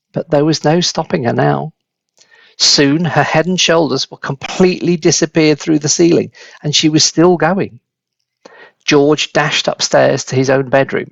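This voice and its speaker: A man reading a children's story aloud as a dramatic, deliberate, expressive narrator. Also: fairly high pitch, creaky, no room sound, highs cut off. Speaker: storybook reader.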